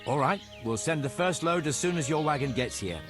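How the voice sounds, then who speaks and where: An actor playing a businesslike, compliant medieval charcoal supplier in a video game. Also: male voice, a little high, expressive, brisk, music in the background, natural voice. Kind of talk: video game cutscene acting.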